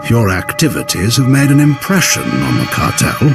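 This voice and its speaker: A man's voice: mid-range voice, huge pitch swings, normal speed, creaky. A man playing a stern, haughty Imperial admiral in a video game, formal, clipped and commanding. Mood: cool authority. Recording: loud game music behind voice.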